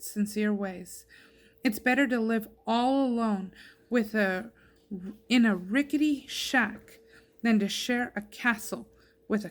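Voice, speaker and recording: A woman with a fairly high voice reads scripture aloud. She phrases each verse plainly and clearly, as in an informal devotional reading. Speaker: scripture reader.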